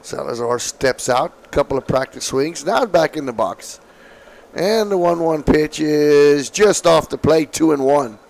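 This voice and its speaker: A man calling softball play-by-play, his voice slightly raspy from allergies, with calm, measured calls. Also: a little high. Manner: calm, measured calls, excited on big hits. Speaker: play-by-play announcer.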